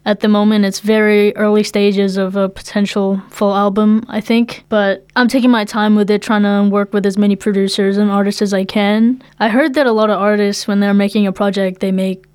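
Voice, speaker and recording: A woman's voice: mid-range voice, level tone, a little fast, hardly any pauses, a little mellow, smooth, no echo. A young woman with a New Zealand accent, talking informally and with slang in a casual interview as a musician guest. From Philippines, moved to New Zealand at eight.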